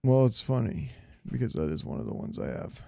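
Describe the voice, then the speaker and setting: A man's voice: mid-range voice, big pitch swings, brisk, creaky, warm tone, no echo, studio quality. A man hosting a casual gaming podcast, joking and opinionated. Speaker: podcast host.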